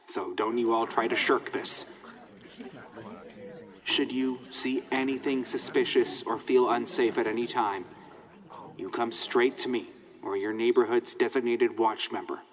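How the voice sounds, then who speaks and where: A man playing an authoritative small-town sheriff in an audio drama, making a firm, measured, folksy announcement. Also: mid-range voice, lightly acted. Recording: reverberant large room.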